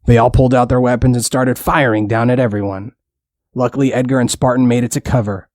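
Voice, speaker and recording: A man's voice: medium pitch, steady tone, fast talker, darkish tone, dry recording. Dry, close male storybook narrator. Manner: plain, simple storytelling. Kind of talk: scripted bedtime story narration for children.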